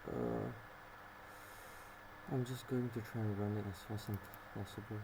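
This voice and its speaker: A man's voice: on the low side, big pitch swings, unhurried. A man muttering casual, unenthusiastic commentary to himself while he works as a solo game developer. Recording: clearly noisy recording.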